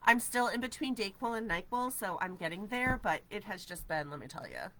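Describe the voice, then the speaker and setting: A woman's voice: mid-range voice, very animated, fairly quick, bright, forceful tone, low-quality recording. Chatty stuffy-nosed woman crafter. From New Hampshire, USA. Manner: chatty, upbeat, reads out and answers viewer comments. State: stuffy from a head cold. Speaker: craft livestream host. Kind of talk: casual craft livestream chat. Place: craft studio with shelves of ribbon. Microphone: camera at desk distance.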